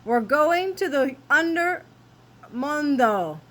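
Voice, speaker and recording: A woman's voice: high-pitched, animated, slow, very noisy, pitched above the performer's own voice. A woman voicing little Chihuahua bandit dogs, eager and grand, with declamatory mock-Spanish phrases in a storybook reading.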